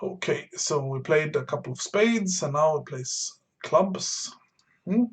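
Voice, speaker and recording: A man from Sweden, streaming a bridge game and explaining his moves to beginners while thinking aloud with frequent pauses and fillers. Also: a little high, lively intonation. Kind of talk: live-stream commentary explaining card play.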